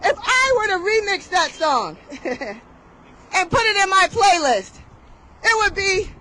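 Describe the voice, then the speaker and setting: A woman's voice: very high voice, sing-song, slow, pushed and bright, a little hiss or hum. A woman giving an outdoor motivational rally speech, leading call-and-response with rhythmic, emphatic, rhyming delivery. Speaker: rally speaker.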